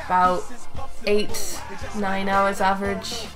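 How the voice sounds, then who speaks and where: A woman in her twenties talks to her viewers in a casual, joking way with the odd swear word. Her voice sits at a middle pitch. Music plays clearly behind her as she sits in a small bedroom. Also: level tone, takes it slowly, poor audio. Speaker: YouTube host and voice actor. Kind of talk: casual chatty Q&A vlog. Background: background music.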